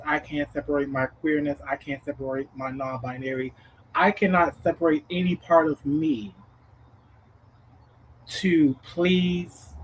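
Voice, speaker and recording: Brief: man in his mid-thirties, livestream host, a bit high-pitched, reflective and heartfelt. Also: slightly rough, poor audio. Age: 30s. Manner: reflective, earnest, rhetorical "okay?" check-ins. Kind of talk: casual livestream self-help talk.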